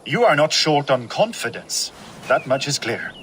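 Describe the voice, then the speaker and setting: Seasoned Viking warlord, sardonic, commanding, animated pitch, long reverb, video game drama. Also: male voice, normal speed, slight background noise. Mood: skeptical. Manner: wry, commanding.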